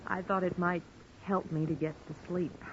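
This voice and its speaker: Actress as a coy young widow, muffled vintage radio. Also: female voice, lowish voice, fairly even intonation, moderate pace, close to an everyday voice. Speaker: actress playing a murder suspect. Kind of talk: old-time radio acting. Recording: dull, noisy old radio recording.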